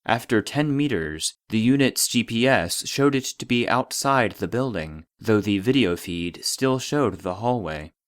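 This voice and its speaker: An actor playing the formal, detached voice of a secret archive database, narrating a scripted report in an audio drama. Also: male voice, fairly low pitch, expressive, measured pace, few pauses, no echo, studio quality. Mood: clinical, detached.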